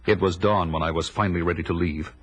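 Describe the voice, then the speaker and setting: A man's voice: low voice, fairly even intonation, fast talker, a bit bright, a little hiss or hum. An actor playing a proud, vengeful barbarian warrior who tells his tale in a grandiose, declamatory audio drama style. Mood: dramatic narration. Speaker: hero and narrator.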